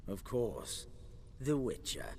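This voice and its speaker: A man's voice: medium pitch, big pitch swings, slow pace, a little mellow, a little hiss or hum. An actor playing a cold, domineering nobleman in a video game, haughty and menacing as he asserts his rule over the family. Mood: guarded. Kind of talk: dramatic video game acting.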